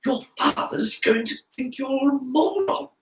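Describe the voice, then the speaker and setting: A clever, scheming schoolboy hero from a storybook voiced by a male reader, pointed and deliberate. The voice is lifted in pitch and echoes slightly in the room. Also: fairly even intonation, bright, forceful tone. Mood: tense warning. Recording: roomy, echoing room sound.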